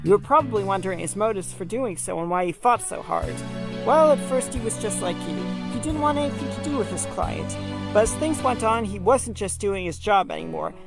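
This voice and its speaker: A woman's voice: medium pitch, animated, brisk, vocal fry. A woman voicing an earnest, stubbornly principled male animal defense attorney in an audio drama. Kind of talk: fan audio drama acting. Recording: music behind the voice.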